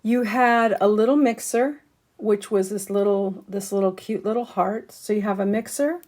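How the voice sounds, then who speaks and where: A woman chats through her shopping haul in an easy, rambling way, with many ums and small restarts. Her voice is a little hoarse this morning and she clears her throat now and then. Her pitch sits in the usual middle range for a woman. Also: steady tone, normal speed, a little mellow. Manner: chatty, many ums, showing items up close. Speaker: crafting channel host. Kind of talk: casual haul chat to camera.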